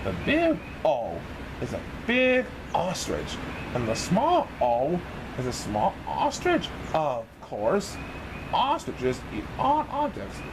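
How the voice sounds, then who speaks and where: A man reads a children's storybook in a fairly high voice. His pitch leaps and dips constantly in an exaggerated sing-song delivery. Clear noise runs behind the recording. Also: huge pitch swings, slow pace. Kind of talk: animated children's storybook reading.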